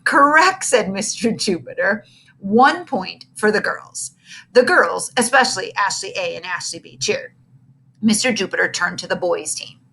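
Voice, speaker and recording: A woman narrating a children's book aloud with expressive storytelling. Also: medium pitch, normal speed, creaky, husky, poor audio.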